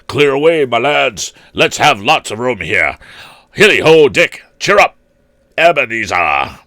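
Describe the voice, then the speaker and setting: A man voicing a jovial, boisterous fat old gentleman in a storybook, calling out in a rich, oily voice. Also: mid-range voice, big pitch swings, unhurried, no room sound, studio quality, voice pushed up, full character voice. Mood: jovial, hearty. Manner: jovial, exclamatory, calling out heartily. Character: jolly, boisterous fat old gentleman, hearty warehouse master. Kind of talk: storybook character voice.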